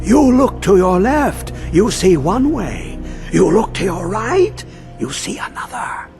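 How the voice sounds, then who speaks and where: A man voicing a mad, obsessed old male scholar who talks in riddles and swings between high and low. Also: big pitch swings, slow pace, heavily acted. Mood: cryptic. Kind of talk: video game voice acting. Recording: game audio with faint music.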